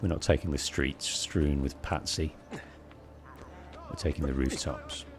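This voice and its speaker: A British man with a deep, bassy voice giving measured, polite storytelling commentary as he streams a game, close on the microphone. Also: lively intonation. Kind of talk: let's play commentary, storytelling. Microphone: close bass-heavy streaming microphone.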